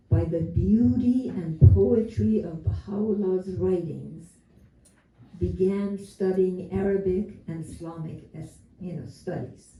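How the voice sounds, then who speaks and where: A man's voice: high voice, warm tone. A man in his seventies, from the United States, reading a prepared introduction of a speaker with dry humor at a community gathering. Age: 70s. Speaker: event host introducing a speaker. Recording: roomy, distant mic with faint noise.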